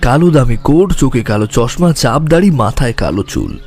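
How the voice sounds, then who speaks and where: A man playing a sharp, intense detective in an audio story, speaking in a deliberate, dramatic way. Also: medium pitch, very animated. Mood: brooding, analytical. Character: sharp, intense male detective reasoning through a murder case. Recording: dramatic music bed.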